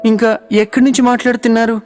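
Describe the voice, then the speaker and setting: A woman's voice: a little high, level tone, fast talker, a little mellow, natural voice. A woman doing an earnest village boy, echoey. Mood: anxious. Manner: earnest, pleading.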